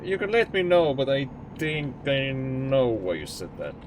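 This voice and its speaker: A man's voice: mid-range voice, big pitch swings, unhurried, slight background noise. A young man from Estonia with an Estonian accent, a streamer chatting casually and jokingly with his live chat. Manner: rambling and jokey, answering chat.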